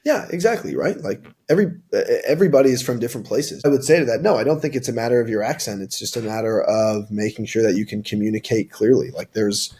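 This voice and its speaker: A husky, slightly low-pitched young man talks loosely and informally, stumbling into restarts and leaning on "like" and "you know." He sounds like a sales rep giving candid career advice in an interview. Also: quick pace, few pauses, darkish tone. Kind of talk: casual interview answers.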